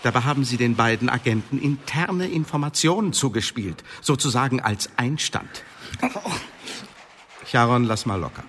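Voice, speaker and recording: An actor playing a cold, sardonic Elizabethan secret-service interrogator in a German radio drama, speaking in a pedantic, condescending, formal way. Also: male voice, mid-range voice, lively intonation, normal speed, a bit bright, a little hiss or hum, close to an everyday voice. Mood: coolly menacing.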